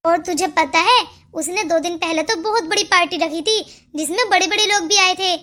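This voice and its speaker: Casting: woman as meddling older gossip for an animated family tale, insinuating, extremely high pitched and bright. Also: normal speed. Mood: gossipy, stirring. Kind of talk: Hindi cartoon moral-story acting.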